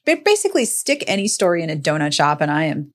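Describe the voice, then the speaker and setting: A woman's voice: low-pitched, sing-song, fast. A woman hosting a podcast, chatting casually about books in an emphatic, playfully declarative way. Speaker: podcast host. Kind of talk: casual book chat on a podcast.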